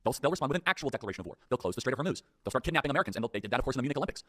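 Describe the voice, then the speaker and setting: A man delivering a fast political talk-show monologue, emphatic and full of rhetorical questions. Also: medium pitch, expressive, fairly bright tone, dry recording, clean studio sound.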